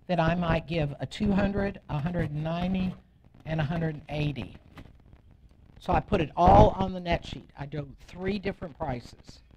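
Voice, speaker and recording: A woman's voice: lowish voice, a little mellow. Blunt woman trainer, muffled noisy recording. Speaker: real estate trainer leading a class. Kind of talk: informal classroom instruction with role-played client dialogue. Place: training room with attendees.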